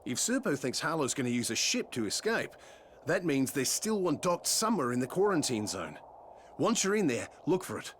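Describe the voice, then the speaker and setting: A man playing a tough, steady survivor ally, blunt and assured, in a video game cutscene. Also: a little high, normal speed, slight background noise. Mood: practical.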